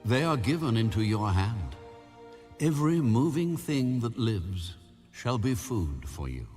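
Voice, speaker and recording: A man narrating scripture in a solemn, measured, grave manner with deliberate pauses. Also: medium pitch, sing-song, darkish tone, soft background music. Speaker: audio Bible narrator.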